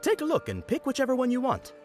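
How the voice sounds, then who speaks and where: A man's voice: very high-pitched, wildly swooping pitch, fast, a little mellow, soft background music, exaggerated voice. A man playing a gallant, jolly festival knight who entertains children with grand mock-military flair in an anime game. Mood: jolly, courteous.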